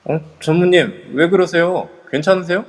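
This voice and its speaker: A man's voice: high voice, moderate pace, in a large hall, natural voice. A man voicing an anxious young man who asks earnest, jumpy questions. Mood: worried. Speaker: voice actor in a live amateur audio drama.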